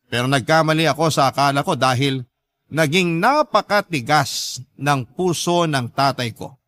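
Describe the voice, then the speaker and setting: A sorrowful grown child telling a family story in a Filipino radio drama. His fairly high voice is earnest and measured, recorded dry and close. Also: a bit bright, dry recording. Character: sorrowful grown child recounting a father's betrayal. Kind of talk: first-person radio drama letter narration.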